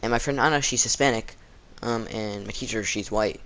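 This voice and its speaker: A man's voice: lowish voice, fairly quick, a bit bright, poor audio. A teenage male vlogger chatting casually as he tells a rambling story, acting out the people he quotes. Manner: rambling, acts out quoted exchanges.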